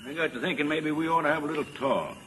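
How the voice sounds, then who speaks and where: A man's voice: on the high side, fast talker, a bit bright, lightly acted. An actor playing a wry, patient American lawman with folksy understatement in a 1940s radio drama. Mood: cool, needling. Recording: reverberant old radio broadcast.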